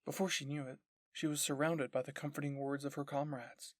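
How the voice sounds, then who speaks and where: A man's voice: a little high, flat delivery, brisk, dry recording, clean studio sound. A man reading scripted story narration as the narrator.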